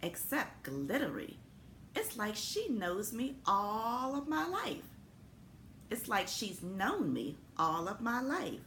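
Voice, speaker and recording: A woman's voice: medium pitch, sing-song, slow, a bit bright, full character voice. A woman voicing a sensitive, innocent male school building in a storybook, with a tentative, earnest manner. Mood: wondering. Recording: echoey room with noticeable background noise.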